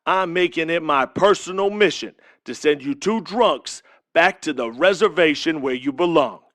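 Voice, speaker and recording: Casting: male actor as arrogant eighties wrestling villain, showy and taunting, higher voice, very dry studio sound. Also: expressive, moderate pace, fairly bright tone, no echo. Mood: scornful taunting. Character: cocky, boastful 1980s pro-wrestling pretty-boy villain. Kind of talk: wrestling promo in an audio drama.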